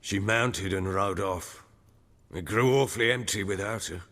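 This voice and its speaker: An actor playing a rough, middle-aged warlord baron in a video game, gruff and husky yet sentimental. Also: male voice, fairly low pitch, moderate pace, a bit bright, long reverb tail, soft background music, lightly acted. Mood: wistful. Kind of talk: dramatic video game acting.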